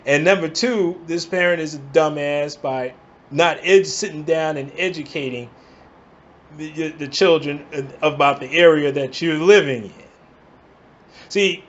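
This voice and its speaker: A man from the Bronx, ranting in emphatic bursts and tossing in "all right?" to keep his listeners with him. His voice sits a touch higher than most men's. The recording is dull and lo-fi. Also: a little slow. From the Bronx, New York. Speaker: vlogger. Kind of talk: angry commentary rant.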